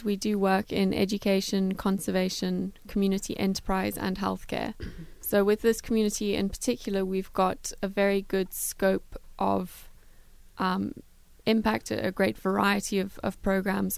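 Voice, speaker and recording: A woman from Zimbabwe, a guest in a studio interview who talks in an even, unhurried way about her work. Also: mid-range voice, gravelly, no echo. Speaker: guest, travel philanthropy worker.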